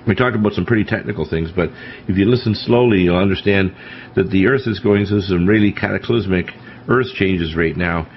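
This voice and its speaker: Male radio host, lowish voice, emphatic lecturing delivery, muffled broadcast audio. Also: fairly quick. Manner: emphatic, lecturing, warns about disasters. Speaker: radio talk show host. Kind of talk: talk radio discussion. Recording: dull lo-fi radio broadcast with faint hiss.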